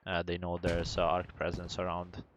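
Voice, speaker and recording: A man who is not a native English speaker, commentating a competitive online shooter match in a relaxed, halting way with many fillers. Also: deep voice, lo-fi sound, slight background noise. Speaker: esports caster. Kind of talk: play-by-play game commentary.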